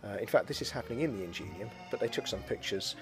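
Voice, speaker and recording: A man, a school educator, giving a measured conference talk over slides and video, often pausing with "uh". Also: lowish voice, animated, music in the background. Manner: measured and explanatory, with frequent "uh" pauses.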